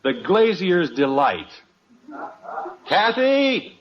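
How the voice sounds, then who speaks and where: A man's voice: high-pitched, sing-song, extremely slow, full character voice. An actor playing a stubborn, exasperated family father in an old-time radio comedy, blustering and argumentative. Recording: dull old radio recording.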